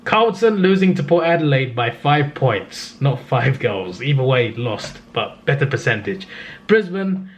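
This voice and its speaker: Young man, Australian accent, hoarse voice, casual, rattles through scores, mid-pitched. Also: very animated, measured pace, lo-fi sound. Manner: rattles off scores, laughs at results, fillers. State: losing his voice. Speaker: gaming channel host. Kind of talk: casual game-results recap.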